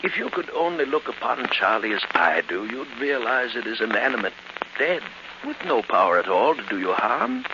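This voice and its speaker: A man's voice: mid-range voice, very animated, normal speed, noisy background. A man playing a falsely kindly middle-aged uncle in 1940s radio drama, fussy, paternal and coaxing. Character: smooth-talking, falsely kindly older uncle. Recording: muffled old 1940s radio recording.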